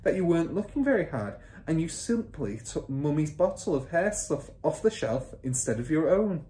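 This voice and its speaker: A calm little daughter from a children's storybook, voiced by a woman. She sounds innocent, quiet and matter-of-fact, with a sly streak. The recording has a roomy echo. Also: deep voice, normal speed, voice pushed up. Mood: quietly innocent.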